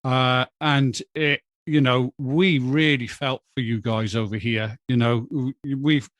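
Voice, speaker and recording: Man talking calmly, dry sound. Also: mid-range voice, no echo. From Wales. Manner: measured, thoughtful, with hesitant starts. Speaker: podcast guest. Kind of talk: casual podcast chat.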